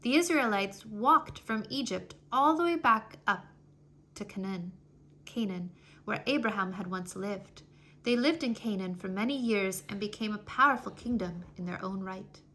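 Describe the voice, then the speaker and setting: A woman reading a children's history story aloud in an expressive, deliberate, scripted storytelling manner. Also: medium pitch. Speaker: storybook reader.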